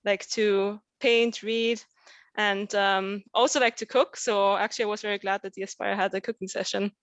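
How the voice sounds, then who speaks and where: An Austrian-born woman scientist introducing herself as a consultant on a panel, warm and quick to laugh. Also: medium pitch, steady tone, no echo, muffled recording. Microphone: video call.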